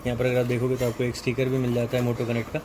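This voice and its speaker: A man's voice: mid-range voice, completely flat delivery, fast. Male product reviewer, Indian accent, level delivery, explanatory and casual, noisy recording. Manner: explanatory, mixes in English technical terms. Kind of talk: casual unboxing and product walkthrough.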